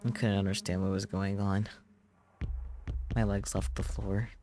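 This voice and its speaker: A man with a low-pitched voice reads visual novel narration aloud as a streamer. His delivery is that of a storyteller who slips into casual commentary. Music plays clearly behind him. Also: level tone, unhurried, a little mellow. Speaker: streamer reading a game aloud.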